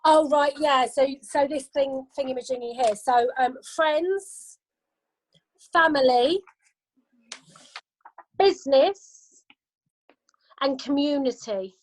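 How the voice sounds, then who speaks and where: A woman's voice: high-pitched, takes it slowly, long pauses, rough recording. A woman guest trainer answering questions on a video call, informal and explaining with lists of examples.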